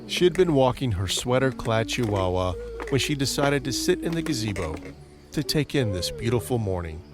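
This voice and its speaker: A man narrating a scripted crime-horror audio drama in a measured, deliberate storytelling manner. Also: mid-range voice, sing-song, music in the background.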